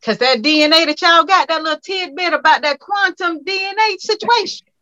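Bright high-voiced woman host, lo-fi. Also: brisk. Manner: playful, encouraging, slangy. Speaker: interviewer, host. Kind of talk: casual interview chat. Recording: dull lo-fi sound.

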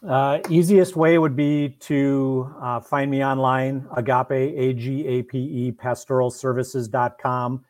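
Male podcast guest, coach type. Measured, conversational delivery, average pitch, dry sound free of room echo. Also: flat delivery, darkish tone. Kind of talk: casual interview conversation.

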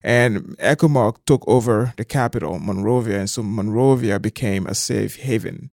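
A man's voice: lowish voice, normal speed, gravelly, darkish tone, dry recording, studio quality. A middle-aged man from Liberia, explaining things conversationally as an interview guest. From southeastern Liberia.

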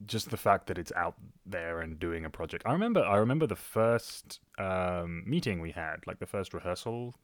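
A man's voice: sits low, lively intonation, moderate pace, few pauses, dry recording, clean studio sound. A man co-hosting a podcast in casual chat, with an informal, rambling way of talking. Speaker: podcast co-host.

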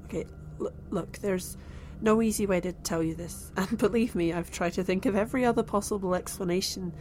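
An actress playing a calm woman held captive on an alien ship, speaking in a soothing, steady way. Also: mid-range voice, brisk, a little hiss or hum, close to an everyday voice. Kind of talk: audio drama acting.

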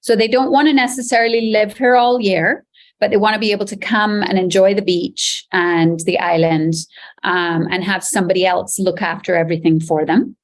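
A woman's voice: medium pitch, steady tone, normal speed. Chatty woman with many ums. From Barbados. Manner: chatty, warm enthusiasm with frequent ums. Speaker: real estate agent. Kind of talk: casual interview answers. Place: beachfront resort apartment, on a video call.

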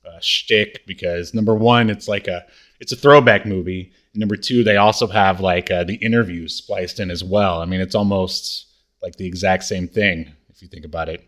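A man co-hosting a podcast, chatting casually and thinking aloud in a halting way. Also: low voice, fairly even intonation, fairly bright tone. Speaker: podcast co-host. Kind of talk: casual chat about obscure media.

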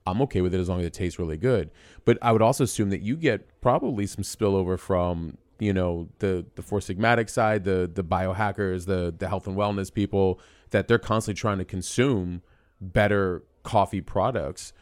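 This is a low-voiced man hosting a casual interview, eager and talkative, winding through his questions with plenty of restarts. His voice sounds close and dry, with no room echo. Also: normal speed, a little mellow. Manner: enthusiastic, rambling questions with many restarts. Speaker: podcast host.

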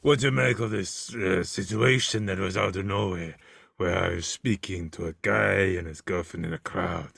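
A man hosting a podcast, asking questions in a dry, deadpan way. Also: sits low, moderate pace, vocal fry, slightly rough, no echo. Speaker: podcast host. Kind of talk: casual interview.

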